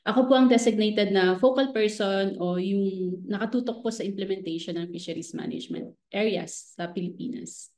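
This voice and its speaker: A woman's voice: medium pitch, moderate pace, darkish tone. Filipino woman explaining in an echoey room. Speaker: government fisheries professional being interviewed. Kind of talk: explanatory interview answers mixing technical terms. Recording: roomy, echoing voice.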